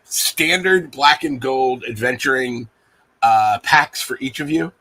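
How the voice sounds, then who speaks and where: A man acting as game master, improvising narration for a tabletop game in a halting way with many pauses. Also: medium pitch, bright, forceful tone, poor audio.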